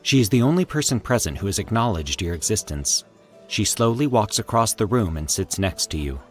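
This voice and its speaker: Male narrator for scripted horror fiction: low register, highly animated intonation, formal and theatrical delivery, faint music underneath. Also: brisk. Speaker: host and narrator of a fiction podcast.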